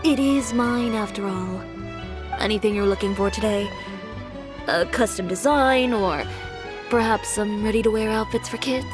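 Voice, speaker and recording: A woman's voice: medium pitch, moderate pace, close to an everyday voice. An actress playing a confident, blunt young female boutique owner in a video game, with a cool, curt, self-assured manner. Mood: matter-of-fact. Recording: music behind.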